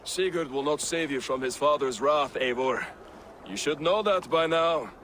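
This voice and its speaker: A man's voice: a little high, normal speed, a bit bright, slight background noise, natural voice. An actor voicing a sardonic man who taunts the hero in a video game. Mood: taunting. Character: mocking male villager. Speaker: game character.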